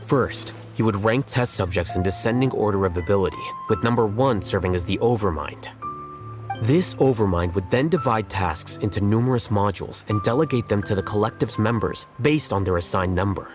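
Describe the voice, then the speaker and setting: A man voicing a cool, intellectual young scholar in a video game, measured, blunt and matter-of-fact. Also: on the low side, expressive, natural voice. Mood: explanatory. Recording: game music behind the voice.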